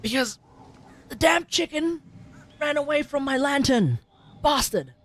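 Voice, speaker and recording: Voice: high-pitched, very animated, measured pace, fairly bright tone. A game livestreamer giving casual live commentary on gameplay, with playful exclamations and muttered asides.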